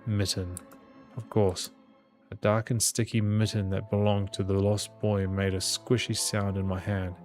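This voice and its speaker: A man's voice: fairly low pitch, little pitch movement, measured pace, a little mellow. A man reading a visual novel aloud as dramatic narration, with small stumbles here and there. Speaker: gaming channel host reading the game aloud. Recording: music behind the voice. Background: game music.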